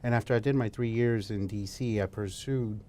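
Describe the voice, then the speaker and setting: A man's voice: on the low side, steady tone, normal speed, a little mellow. A middle-aged man from El Salvador, a guest chef talking casually in an interview, chatty and prone to restarting his sentences. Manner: chatty, frequent restarts and fillers.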